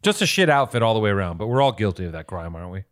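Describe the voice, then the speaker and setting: A man's voice: fairly low pitch, big pitch swings, rapid-fire. A man co-hosting a podcast in casual comedic banter, profane and teasing, often exclaiming. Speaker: podcast co-host.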